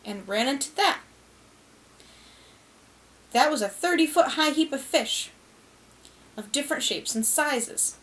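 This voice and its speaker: A woman voicing an excitable, indignant working-class lorry driver. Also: sits high, unhurried, long pauses, pitched above the performer's own voice.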